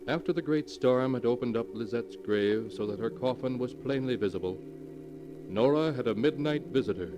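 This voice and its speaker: An actor playing a grave, ominous narrator of a horror tale in melodramatic 1940s radio style. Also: male voice, mid-range voice, moderate pace, lo-fi sound, lightly acted. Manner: solemn, melodramatic storytelling. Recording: old 1940s radio recording with music. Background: loud dramatic music.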